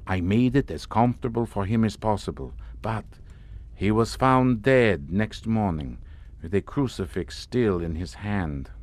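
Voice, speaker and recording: An actor playing a courtly, grandiose and mockingly amused Hungarian count in a suspense audio drama. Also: male voice, lowish voice, animated, a little slow, darkish tone. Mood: wry storytelling. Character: sardonic, theatrical Hungarian count, host of a chateau.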